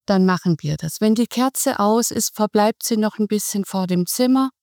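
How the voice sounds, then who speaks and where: A middle-aged woman, a guest on a podcast, calmly explaining her volunteer work in measured sentences. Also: medium pitch, no echo, studio quality. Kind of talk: podcast interview.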